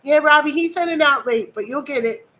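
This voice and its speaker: A woman in her fifties co-hosts a relaxed live show. Her voice sits a little high, and she chats as she reads viewer comments aloud. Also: fairly quick. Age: 50s. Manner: chatty, reading out viewer comments. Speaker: co-host. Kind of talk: casual livestream chat.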